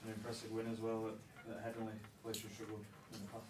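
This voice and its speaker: A man, a reporter putting brisk questions to a coach, heard off-mic. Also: lowish voice, monotone, a little mellow. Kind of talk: press conference questions. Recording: off-mic, distant.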